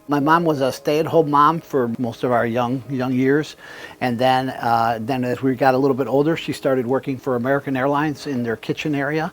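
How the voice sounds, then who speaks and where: A middle-aged man from Cuba, a business owner telling his story in a relaxed, rambling way. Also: medium pitch. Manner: relaxed, many fillers and restarts. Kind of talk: conversational storytelling.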